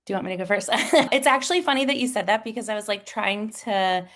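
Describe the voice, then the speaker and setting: A woman's voice: medium pitch, fast talker, fairly bright tone. A woman who is a guest, talking enthusiastically about an online community, with "like" fillers. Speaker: guest, support professional. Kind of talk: casual video call interview.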